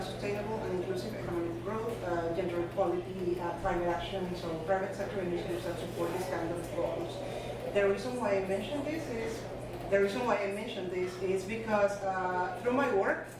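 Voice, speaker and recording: A woman giving a conference talk in a loose, conversational way with many fillers. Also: mid-range voice. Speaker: conference speaker. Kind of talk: presentation talk. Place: conference hall. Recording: echoey room from far off with noise.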